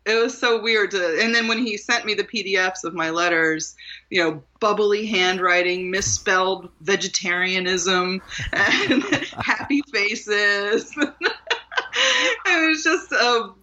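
A woman from Maryland, a guest author, telling stories in an animated way and laughing often. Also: medium pitch, takes it slowly. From Maryland, USA. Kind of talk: conversational interview. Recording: muffled lo-fi sound.

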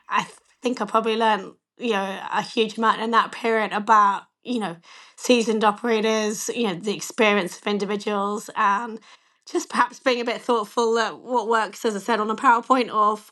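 A woman in her forties with a slightly croaky voice, answering interview questions candidly and with a self-deprecating touch, often saying "you know". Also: medium pitch, fairly bright tone. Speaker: bank chief executive, interview guest.

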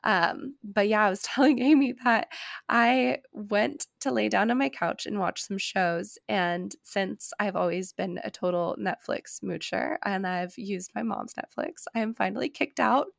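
A woman's voice: mid-range voice, a little slow, creaky, no room sound, studio quality. A woman in her late twenties, sick and congested with a nasal voice, chatting candidly as a podcast co-host, laughing and swearing freely. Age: 20s. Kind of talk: casual chat.

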